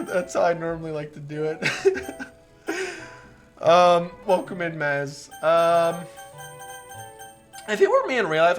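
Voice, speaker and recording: Bright, lively-voiced woman streamer. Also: low-pitched. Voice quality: soft, relaxing voice. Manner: rambling, self-interrupting, swears casually. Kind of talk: casual livestream commentary over a visual novel.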